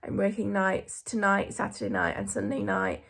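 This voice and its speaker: A young woman, a junior hospital doctor, talking casually to camera in a vlog. Her voice is croaky from a cough and she sounds tired, with many ums. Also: medium pitch, sing-song, moderate pace, fairly bright tone. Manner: chatty, tired, filler-heavy.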